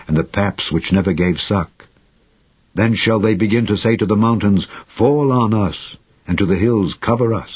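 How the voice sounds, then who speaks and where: A grave, prophetic holy man, voiced by a male narrator speaks with solemn compassion in a scripture reading. The voice is low and husky. The old recording sounds dull and narrow. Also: no room sound. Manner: slow solemn pronouncement. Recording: muffled, band-limited old audiobook recording.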